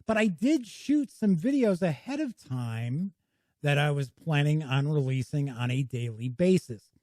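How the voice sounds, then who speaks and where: A man's voice: on the high side, animated, unhurried, dark tone, dry recording, studio quality. A man hosting his own board game channel, giving a casual, chatty review to camera in a folksy, welcoming way. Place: small home studio.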